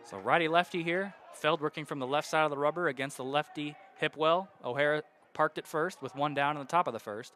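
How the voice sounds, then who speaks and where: A young man calling baseball play-by-play on radio, walking through counts and base runners in a steady flow. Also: fairly high pitch, brisk, few pauses, soft background music. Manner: steady count-and-situation narration, rising loud on hits. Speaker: play-by-play announcer.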